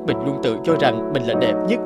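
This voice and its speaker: An actor voicing a proud but well-meaning sun in an animated fairy tale. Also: male voice, medium pitch, fairly quick, loud music behind the voice, natural voice. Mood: remorseful.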